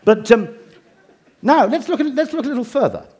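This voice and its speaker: A man's voice: very high voice, expressive, fairly quick, darkish tone, husky. A middle-aged English man giving a humorous talk, with dry wit and ironic asides. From England. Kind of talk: humorous lecture.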